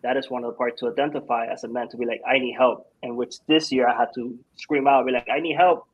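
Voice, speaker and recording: A man's voice: mid-range voice, steady tone, quick pace, slightly rough, dull audio. A man on a discussion panel, speaking candidly and from the heart.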